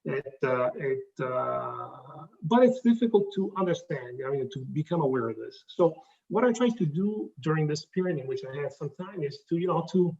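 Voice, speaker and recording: A man with an Italian accent presents to a room, his slightly high voice carrying a gravelly edge. He pauses often and restarts his phrases. He is picked up from a distance on a dull, lo-fi recording. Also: animated, a little mellow. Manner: halting, many fillers and restarts. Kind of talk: pitch presentation to a live audience.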